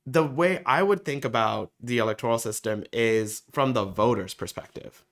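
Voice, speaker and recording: A man, a community organizer, answering interview questions in a thoughtful, halting way with frequent pauses. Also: medium pitch. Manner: thoughtful, many fillers and restarts.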